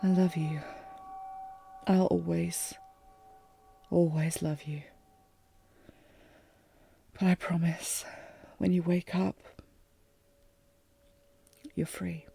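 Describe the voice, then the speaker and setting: A woman playing a lovesick warrior queen, regal yet fragile, in an intimate fantasy audio drama with formal, emotive, halting delivery. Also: on the low side, flat delivery, creaky, mellow, soft background music, exaggerated voice. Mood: sorrowful, resigned. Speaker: audio roleplay performer.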